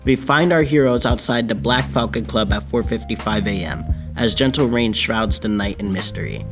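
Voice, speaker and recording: A man's voice: mid-range voice, normal speed, lightly acted. A man playing a solemn old-time radio announcer, narrating a mystery serial in a theatrical, ominous manner. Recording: music bed behind the voice.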